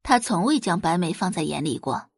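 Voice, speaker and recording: Expressive woman narrator, very dry recording. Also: on the low side, a little fast, creaky, husky, no echo. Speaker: audiobook narrator. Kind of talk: scripted dramatic narration.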